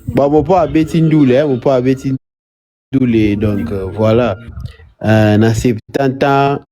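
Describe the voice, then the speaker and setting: A man calling in to a live show, talking in a rambling, halting way with many restarts. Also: mid-range voice, mellow, lo-fi sound. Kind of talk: live call-in chat.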